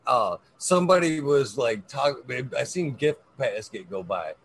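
A man co-hosting a casual livestream, talking in a lively, animated way. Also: mid-range voice, unhurried, gravelly. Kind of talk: casual group chat on a livestream.

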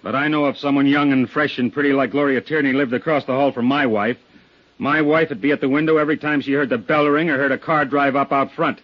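An actor playing a tough, impatient police detective with a gruff, sardonic, badgering manner in a 1950s radio drama. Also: male voice, mid-range voice, fairly even intonation, fast, close to an everyday voice. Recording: dull, lo-fi 1950s radio recording.

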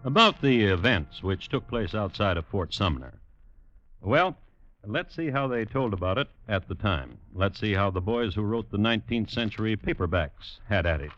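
A man's voice: fairly low pitch, animated, moderate pace, fairly bright tone, a little hiss or hum. A man narrating an old-time radio program with dry, ironic storytelling. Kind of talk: wry scripted radio narration. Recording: muffled old radio recording.

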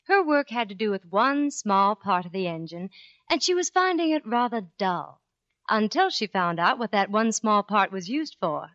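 Animated woman radio spokeswoman. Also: mid-range voice, moderate pace, dry recording. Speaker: sponsor spokeswoman. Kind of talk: scripted 1940s radio advertising talk. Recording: dull, dry old radio recording.

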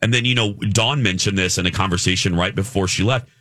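A man co-hosting a casual morning radio show, talking in an animated way that swoops up high when he gets emphatic. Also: fast, no echo, clean studio sound.

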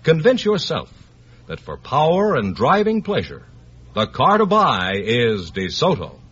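A man's voice: mid-range voice, wildly swooping pitch, unhurried, fairly bright tone, slightly rough. An American man announcing a 1950s radio advertisement in an emphatic, polished sales delivery. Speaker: commercial announcer. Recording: dull vintage radio recording.

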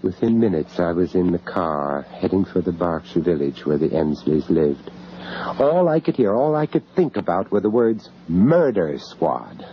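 An anxious, shocked English gentleman in a radio horror tale. His low voice moves animatedly and halts into stammers. The old recording is muffled, with clear noise behind it. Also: big pitch swings. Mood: grim, shaken. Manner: halting, stammering when distressed. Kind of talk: radio horror drama acting and first-person narration.

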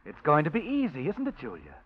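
A man narrating a radio drama in the second person, measured and ominous. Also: on the high side, huge pitch swings, narrow, tinny audio. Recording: old radio recording with music underneath.

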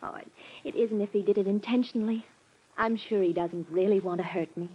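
An actress playing a gentle, loyal young fiancée in an old-time radio drama, polite and hesitant. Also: female voice, mid-range voice, steady tone, brisk, breathy edge, natural voice. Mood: polite, hurt. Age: young adult. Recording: dull, band-limited old radio recording.

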